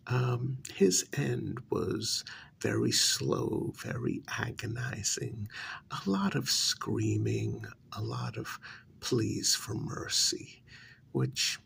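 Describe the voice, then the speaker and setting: A cruel high-society vampire , played by a man, toys with the listener in an ASMR role-play. The voice is deeply creaky and breathy, often falling to a whisper, and it crawls along very slowly with swooping, theatrical pitch. The delivery is haughty, condescending and darkly amused. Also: fairly bright tone, a little hiss or hum, heavily acted. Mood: coldly cruel.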